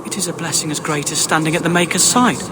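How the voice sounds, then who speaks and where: Casting: man to play a haunted young mage, high-pitched, fervent, pleading, dramatic game acting. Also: fast, background noise, natural voice. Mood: grim, resigned. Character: anguished young elven-blooded mage haunted by demons.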